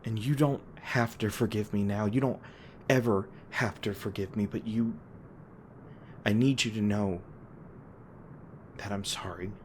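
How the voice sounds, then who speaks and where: A man playing a gruff, guilt-ridden monster hunter with a tender side, speaking haltingly and emotionally in an intimate audio roleplay. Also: on the low side, fairly even intonation, darkish tone, close to an everyday voice. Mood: remorseful. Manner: halting, stammering, emotional, swearing. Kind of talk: intimate dramatic audio roleplay addressed to listener. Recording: noticeable background noise and ambience.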